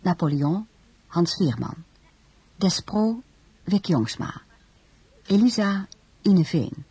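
Radio announcer for scripted credits: heavily husky tone, close dry sound. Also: mid-range voice, lively intonation, slow pace, mellow, no room sound.

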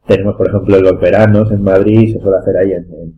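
Spanish guy from spain chatting on a podcast, talks fast, dull sound with faint hum. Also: deep voice, flat delivery. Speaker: podcast panelist. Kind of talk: casual group chat. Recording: muffled, noisy with hum.

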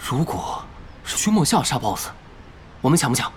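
Blunt, lively gamer underling. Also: male voice, a little high, moderate pace, slight background noise. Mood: alert. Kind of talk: audio drama acting. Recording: loud music under the dialogue.

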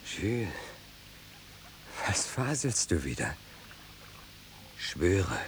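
Stern father, very deep voice. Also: very animated, drawn-out words, a little hiss or hum, heavily acted. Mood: irritated, demanding. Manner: barking, imperious. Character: stern, commanding father of a young boy. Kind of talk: radio drama acting.

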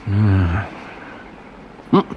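An actor playing a middle-aged businessman in a radio drama, ironic and musing, in an unhurried way. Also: lowish voice, lively intonation, muffled recording, slight background noise. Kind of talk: radio drama acting, interior monologue.

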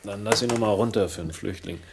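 Low-voiced, sardonic, world-weary drinker. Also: male voice, fast talker, gravelly, slight background noise. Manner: wry, self-mocking. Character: world-weary, sardonic, hard-drinking man narrating his misadventures. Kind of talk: noir-like radio drama narration and dialogue.

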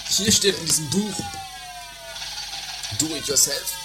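A woman's voice: sits low, a little slow, very noisy. A woman playing a muddled, forgetful amateur rocket builder in a comedy radio play, pedantic and theatrical. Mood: pedantic, explaining. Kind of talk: amateur trash radio play, comic drama. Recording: loud music under voices, 1980s radio play.

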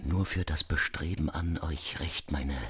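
A man's voice: very deep voice, fairly even intonation, a little slow, fairly bright tone, breathy edge, full character voice. Man playing a fervent, tormented master goldsmith. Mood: frantic pleading. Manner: solemn, pleading, courtly.

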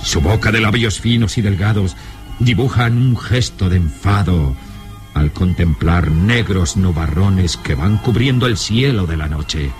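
A man narrating a gothic adventure radio serial in a solemn, suspenseful manner with deliberate phrasing. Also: sits low. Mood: solemn, ominous. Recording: old radio serial with dramatic music. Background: dramatic music.

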